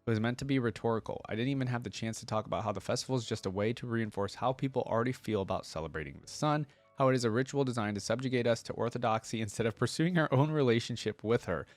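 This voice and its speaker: A man's voice: mid-range voice, fast talker, few pauses, no room sound, soft background music. A man hosting a reaction video, reading story text aloud and commenting casually. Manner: informal, thinking aloud.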